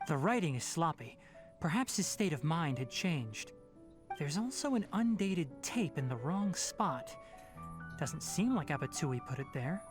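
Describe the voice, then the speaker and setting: An actor playing a composed, analytical young male investigator in a video game, speaking in a measured, thoughtful manner. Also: a little high, sing-song, soft background music.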